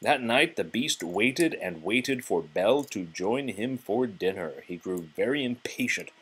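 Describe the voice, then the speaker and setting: A man's voice: lowish voice, expressive, unhurried, fairly bright tone, slightly rough, poor audio. A man reading a children's storybook aloud to a child, expressively, with the odd prompting question. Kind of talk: children's read-aloud narration.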